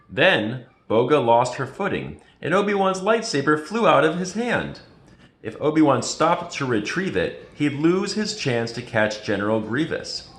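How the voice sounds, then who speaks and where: A man reading a children's story aloud with expressive, dramatic storytelling. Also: mid-range voice. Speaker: reader.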